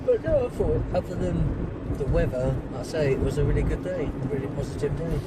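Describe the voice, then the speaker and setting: A man driving a car, chatting casually in brief, halting, laid-back remarks. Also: fairly high pitch, expressive. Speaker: driver, co-organiser. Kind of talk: casual chat. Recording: distant voice, loud car noise. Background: engine and road noise.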